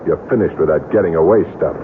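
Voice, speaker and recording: An actor playing a menacing gangster in a radio drama, mockingly genial, with taunting, drawn-out tough-guy talk. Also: sits low, natural voice. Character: menacing male gangster collector, mockingly genial, coolly threatening. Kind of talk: 1970s radio drama acting. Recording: noisy muffled old radio broadcast.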